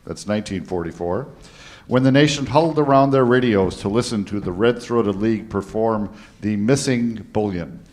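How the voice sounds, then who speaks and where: A man's voice: fairly low pitch, moderate pace, a little mellow. A man reading a scripted introduction to a performance as its presenter.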